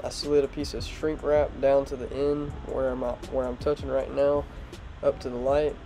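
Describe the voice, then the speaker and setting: A man's voice: mid-range voice, moderate pace. A young man with a stuffed-up nose, casually explaining a truck project in a home garage vlog with voiceover. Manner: relaxed, plain explanatory talk with fillers. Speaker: automotive vlogger. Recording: music playing behind.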